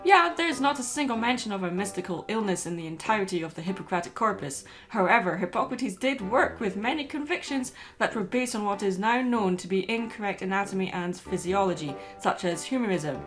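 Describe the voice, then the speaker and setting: A woman's voice: mid-range voice, animated, fairly quick, hardly any pauses, faint music behind. A woman delivering scripted commentary as the narrator of a video essay.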